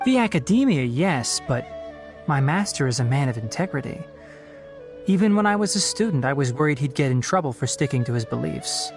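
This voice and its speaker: A principled young ranger in a video game. His mid-range voice is polite and earnest, each line thoughtful and sincere. Game music plays clearly behind him. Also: mid-range voice, big pitch swings, a little fast.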